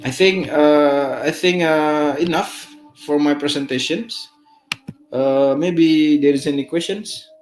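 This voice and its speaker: A man from Indonesia with an Indonesian accent, giving an online lecture in a halting way, full of fillers and restarts. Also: a little high, soft background music. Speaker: guest lecturer. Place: online live stream.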